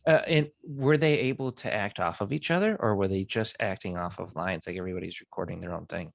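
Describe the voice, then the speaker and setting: A man's voice: low voice, creaky, no echo, clean studio sound. A man hosting a podcast, chatting casually and enthusiastically with his guest. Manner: enthusiastic, quick to affirm. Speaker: podcast host. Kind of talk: casual interview chat.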